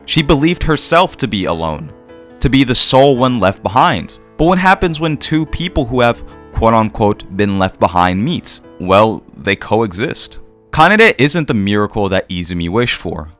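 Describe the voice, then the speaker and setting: A man narrating a scripted video essay in a reflective, measured way with deliberate pauses. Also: on the low side, a bit bright. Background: background music.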